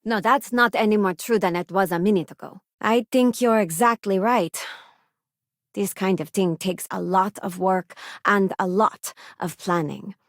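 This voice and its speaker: An actress voicing an earnest, idealistic former deputy turned starship crew member in a video game, sincere and plain-spoken. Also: female voice, mid-range voice, unhurried, dry recording, studio quality, natural voice. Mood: earnest, reflective. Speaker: video game companion character. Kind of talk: acted video game voice lines.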